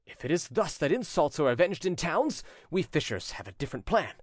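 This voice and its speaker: A man's voice: fairly high pitch, big pitch swings, moderate pace, darkish tone, no echo, clean studio sound. A man voicing a proud young fisherman in a storybook, a protective brother who speaks in a haughty, scornful, declamatory way. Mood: indignant fury.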